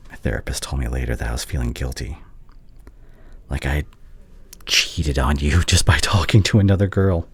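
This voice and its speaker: A man playing a heartbroken, devoted young doctor ex-boyfriend in an intimate audio roleplay, hushed and halting, often slipping toward a whisper. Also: extremely low pitch, expressive, slightly rough, heavily acted. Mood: wry, sheepish. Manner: halting, emotional, confessional.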